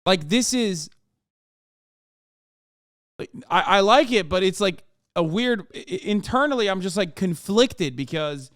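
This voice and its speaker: A man's voice: sits high, expressive, unhurried, long pauses, a bit bright, no echo, studio quality. A man hosting a live stream who reacts casually, swears a lot, rambles and restarts his sentences. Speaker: streamer host.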